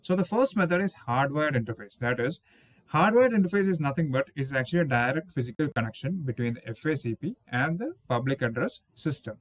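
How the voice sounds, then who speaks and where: A man's voice: medium pitch, expressive, brisk, no room sound. A man in his thirties teaching an engineering lesson over slides, explaining step by step and often repeating and rephrasing himself with "okay" and "uh". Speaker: engineering instructor. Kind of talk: slide-based technical lecture. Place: indoors, voice-over on slides with small webcam inset.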